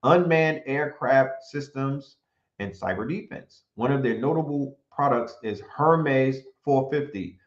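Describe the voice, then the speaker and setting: A man's voice: medium pitch, slow. Emphatic New man in a roomy space. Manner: animated, emphatic, repetitive rhetorical questions. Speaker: commentator. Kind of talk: monologue commentary mixed with reading from notes. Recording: roomy, dull lo-fi sound.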